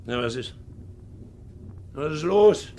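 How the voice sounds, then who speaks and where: A hard old ship captain, gravelly, mutters darkly then barks, big swings in pitch, old radio play. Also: male voice, animated, takes it slowly, mellow, slight background noise. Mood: harsh, commanding. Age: older. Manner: brooding, swinging from muttering to harsh barking. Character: hard, brooding ageing ship's captain, self-justifying and haunted. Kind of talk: 1950s German radio drama acting. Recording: 1950s radio recording, faint music.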